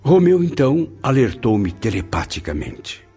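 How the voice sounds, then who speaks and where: A man's voice: medium pitch, very animated, a little slow, dark tone, husky, soft background music. A man acting in a radio drama as an earnest spirit who recounts what he witnessed, grave and emphatic. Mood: grave narrating.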